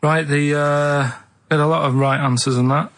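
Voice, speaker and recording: A man reading out quiz clues and chatting casually on a radio show, in a halting, matter-of-fact way. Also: a little high, steady tone, a bit bright. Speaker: radio show regular running a quiz feature. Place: radio studio.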